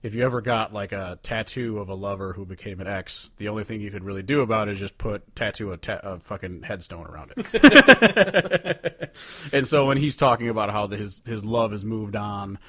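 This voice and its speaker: A man with a fairly low voice talks music as a podcast co-host. He is informal and loose, rambling and cursing casually, in a dry recording with little room sound. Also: lively intonation, normal speed, a bit bright, no room sound.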